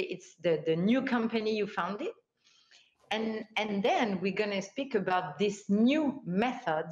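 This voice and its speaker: A woman hosting an online forum interview, speaking with enthusiasm and non-native phrasing. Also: mid-range voice. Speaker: host. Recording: roomy, dull lo-fi sound.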